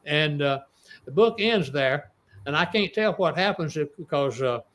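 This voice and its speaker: A man's voice: fairly high pitch, lively intonation, unhurried, a bit bright. A man in his eighties with an East Texas accent, an author telling stories in an interview, rambling with many restarts. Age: 80s. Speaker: author guest. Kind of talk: casual interview storytelling.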